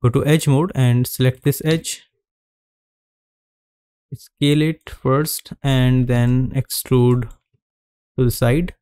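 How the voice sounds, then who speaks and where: A man narrating a screen-recorded 3D modeling tutorial, giving calm step-by-step instructions with frequent pauses. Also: medium pitch, clear, resonant tone, no echo.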